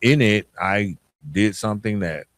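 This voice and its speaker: Man, lowish pitch, podcast host, plainspoken, conversational motivational talk, direct address, rhetorical questions, dry recording. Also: a little slow, dry recording.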